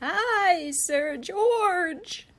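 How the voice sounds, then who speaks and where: A woman voicing a timid male knight in a storybook, squeaky and stammering nervously. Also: animated, drawn-out words, exaggerated voice. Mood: timid greeting.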